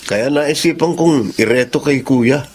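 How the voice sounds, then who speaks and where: A man's voice: mid-range voice, unhurried, darkish tone, slight background noise, natural voice. A man playing an easygoing, playful married younger brother in a radio drama. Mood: defensive. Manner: laid-back, laughing, casual.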